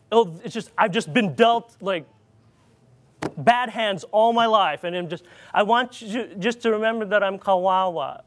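High-voiced Filipino-accented man preaching. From Philippines. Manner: rambling, animated, many fillers and restarts. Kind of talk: informal sermon storytelling.

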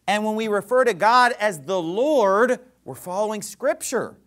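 Animated preaching man, lively pitch. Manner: emphatic preaching with rhetorical questions. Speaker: pastor. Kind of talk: sermon.

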